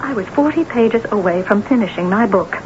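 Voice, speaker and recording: An actress in an old radio drama playing an imperious, high-strung woman novelist with a theatrical, scornful, biting delivery. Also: lively intonation, a little fast, noisy background, close to an everyday voice. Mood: furious, indignant. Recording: muffled lo-fi radio drama tape.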